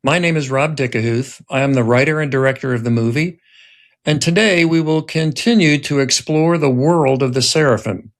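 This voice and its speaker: Man hosting a scripted podcast. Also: mid-range voice. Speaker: podcast host and writer-director, reading as narrator.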